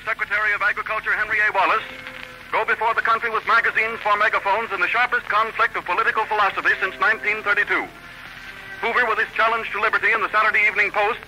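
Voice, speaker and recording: Old timey radio newsman, fast and high, dramatic, faint music. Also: bright, forceful tone, poor audio. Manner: punchy, dramatic. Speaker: newsreel announcer. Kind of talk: 1930s radio news announcing. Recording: vintage 1930s radio recording.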